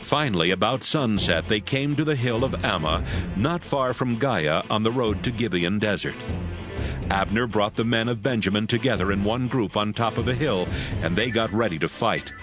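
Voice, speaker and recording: A man narrating a Bible audio drama from a script in a measured storytelling manner. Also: on the low side. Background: music.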